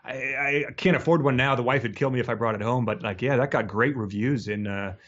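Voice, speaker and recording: A man's voice: medium pitch, a little fast, a bit bright, muffled recording, close to an everyday voice. A man voicing an envious priest who chats casually and hesitantly like an impressed suburban husband, in a comedy podcast bit. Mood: envious admiration.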